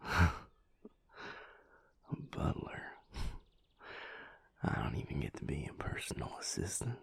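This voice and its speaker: A man playing an affectionate, teasing vampire lover in an intimate audio roleplay, speaking softly and unhurriedly in a whispery, gravelly voice. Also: rumbling low, big pitch swings, exaggerated voice. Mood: amused, teasing. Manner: unhurried, soft, drily teasing.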